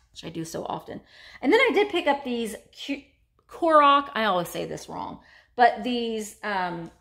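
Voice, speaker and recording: A woman in her forties talks casually about vintage finds, rambling and correcting herself as she goes. Her voice sits a little high. She records on a phone held close inside an antique shop. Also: a bit bright. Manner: chatty and rambling, many fillers and self-corrections. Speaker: vintage reseller and vlogger. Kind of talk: casual haul vlog. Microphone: phone camera held close.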